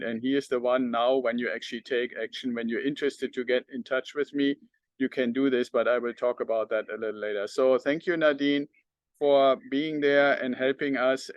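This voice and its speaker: A man's voice: medium pitch, no echo, highs cut off. German-accented man hosting a podcast, close dry sound. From northern Germany. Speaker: podcast host. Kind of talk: unscripted talk to the audience.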